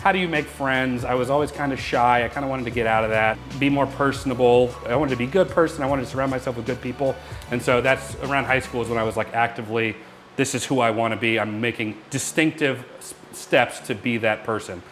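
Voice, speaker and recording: A man hosting a casual reaction chat, hoarse and raspy from a cold, candid and chatty. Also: mid-range voice, fast, a bit bright, faint music behind. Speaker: channel host.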